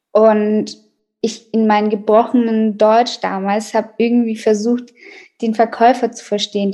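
A woman's voice: mid-range voice, a little mellow. A young woman from Colombia, a native Spanish speaker and student, telling stories casually in an interview.

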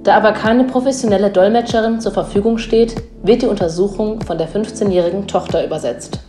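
A woman's voice: mid-range voice, a little fast. Woman reading scenarios over music. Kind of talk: reading example scenarios aloud. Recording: roomy sound with music underneath.

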